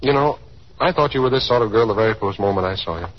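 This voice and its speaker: An actor playing a courteous, interested young gentleman suitor in a 1930s radio drama, speaking in a polite, easygoing way. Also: on the low side, fast, close to an everyday voice. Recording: dull, hissy 1930s radio recording.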